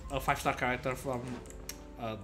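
A young man giving casual gameplay commentary as a streamer, with halting, repetitive phrasing. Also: mid-range voice, little pitch movement, over music. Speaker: game streamer. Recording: clear background noise, game ambience.

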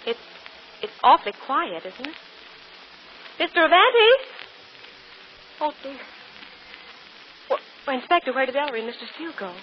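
An actress playing a pert, excitable young assistant, outspoken and expressive, in a 1940s radio mystery. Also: female voice, high-pitched, moderate pace, long pauses, a little hiss or hum. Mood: amazed. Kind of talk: 1940s radio drama acting. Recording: muffled old radio broadcast.

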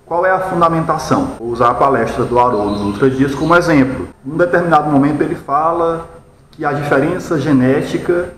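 A man in his thirties with a Brazilian accent, giving explanatory opinion commentary in a deliberate, didactic way, prone to rhetorical questions. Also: on the high side. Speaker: video commentator. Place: small bare-walled room indoors. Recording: echoey room with background noise.

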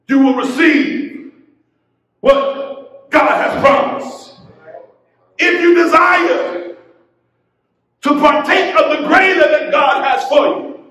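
A middle-aged man preaching a sermon from a church pulpit, building into shouted, half-sung exhortation. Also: very high voice. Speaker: pastor. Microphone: pulpit mic, filmed by a far camera. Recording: very echoey church from a distance.

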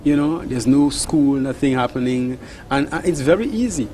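A man's voice: mid-range voice, moderate pace, a little mellow, a little hiss or hum. Middle-aged Dominican man on a night-time balcony panel, talking earnestly into a handheld mic and doubling back on words to press his point. Faint outdoor ambience sits behind his voice. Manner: earnest, repeats words for emphasis. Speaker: Creole teacher and radio broadcaster. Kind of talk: panel discussion.

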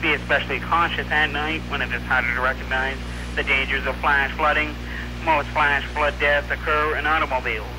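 A man with a fairly high voice reads weather bulletins over the radio. His delivery is formal and measured, following a script. The 1990s broadcast recording has clear noise and hum. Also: steady tone. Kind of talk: scripted forecast and warning reading.

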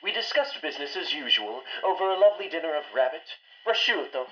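A man's voice: fairly high pitch, animated, bright, forceful tone. A man playing a ceremonious, fussy household butler in an old-time radio melodrama. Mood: reading solemnly. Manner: ceremonious, deliberate delivery. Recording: dull, band-limited old-time radio sound.

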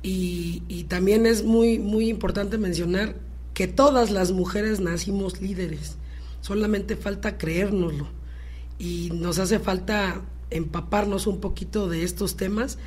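A Mexican woman with a husky voice, a community leader talking deliberately as an interview guest and repeating words for emphasis. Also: medium pitch, fairly even intonation, a little mellow. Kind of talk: conversational interview talk.

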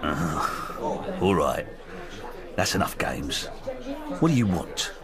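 An actor playing a rough, wary London street card sharp with a Cockney accent and slangy patter in a radio drama. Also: male voice, a bass voice, expressive, slow, full character voice. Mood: suspicious. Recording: noisy crowd and music behind.

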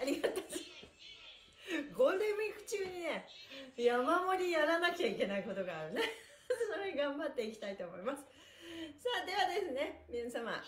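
A middle-aged woman leading an upbeat stretching class on a livestream, cheerful and animated as she calls out energetic cues. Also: high voice, a little hiss or hum. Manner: cheerful, animated, often laughing while talking; energetic, calling out chanted cues while exercising. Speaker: stretching instructor and livestream host. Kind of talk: upbeat exercise class with counted chants. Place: small bare indoor room, seated on a mat. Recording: echoey room sound.

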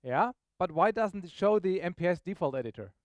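Male tech presenter, high clear voice, informal and explanatory, dry close sound. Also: expressive, brisk, dry recording. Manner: informal, explanatory, checks in with questions. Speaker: software presenter. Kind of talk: live technical demo to an audience. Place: meetup presentation room, on stage.

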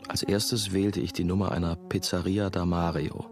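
Very deep-voiced brooding narrator. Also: male voice, level tone. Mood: brooding, confiding. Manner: measured, confiding, terse. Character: brooding young former novice, haunted and obsessive. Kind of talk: first-person radio drama monologue.

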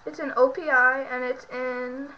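A woman's voice: high-pitched, little pitch movement, moderate pace, slight background noise. Congested girl on a webcam. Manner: chatty, rambling, lots of fillers and self-corrections. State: sick and congested. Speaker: beauty vlogger. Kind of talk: casual product show-and-tell. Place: bedroom at home. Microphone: built-in webcam mic. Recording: muffled lo-fi webcam audio.

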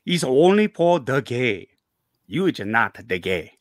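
A man's voice: mid-range voice, sing-song, measured pace. A man in his sixties reading a curt older Korean shop owner with a Korean accent and broken English, on a headset over a video call.

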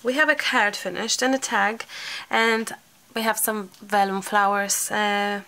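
A woman, slightly hoarse, chatting casually while she works through a crafting tutorial. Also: medium pitch, fairly even intonation, a little slow, sharp tone, slight background noise. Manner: chatty, thinking aloud, light laughs. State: slightly hoarse from a sore throat. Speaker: craft channel host.

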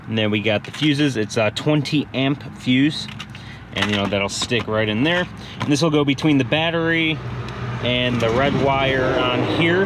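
Male DIY host, ordinary mid pitch, casual work-along delivery, action-camera sound with noticeable outdoor noise. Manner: informal, thinking aloud while working. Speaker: DIY how-to video host. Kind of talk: casual hands-on tutorial narration. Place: outdoors beside a vehicle in daylight. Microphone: action camera held or mounted near him.

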